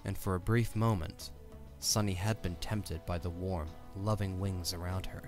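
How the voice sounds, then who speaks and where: Male narrator for fantasy audio drama: low-pitched, scripted storytelling, faint music underneath. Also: steady tone, moderate pace, darkish tone.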